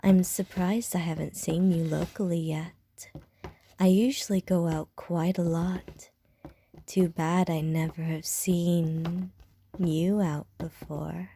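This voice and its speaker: Brief: woman playing a seductive female vampire, very slow and low-pitched, dark mellow tone, sly whispered teasing. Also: little pitch movement, slight background noise. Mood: flirtatious. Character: flirtatious predatory female vampire stalking a victim. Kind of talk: ASMR audio roleplay.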